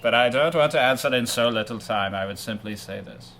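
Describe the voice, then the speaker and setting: A man reading a debate transcript aloud in a deliberate, halting way. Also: medium pitch, a bit bright. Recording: faintly noisy amateur recording.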